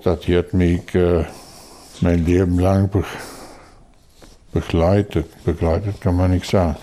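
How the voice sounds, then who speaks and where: An older man, telling a story slowly in an interview, halting with fillers and restarts. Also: on the low side, mellow. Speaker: local dialect enthusiast.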